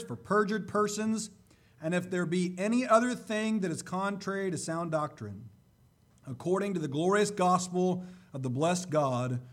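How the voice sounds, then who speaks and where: A man preaching a sermon and reading scripture, slightly hoarse, with a deliberate, emphatic delivery. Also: high voice.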